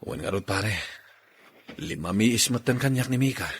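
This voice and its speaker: A man's voice: lowish voice, expressive, moderate pace, a little hiss or hum. A man with an Ilocano accent acting and narrating as the storyteller of a radio drama. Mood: troubled, confiding. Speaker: radio drama host and actor.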